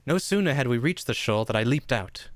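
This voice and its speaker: A man's voice: medium pitch, animated, brisk. A man narrating a radio play in a theatrical storybook style.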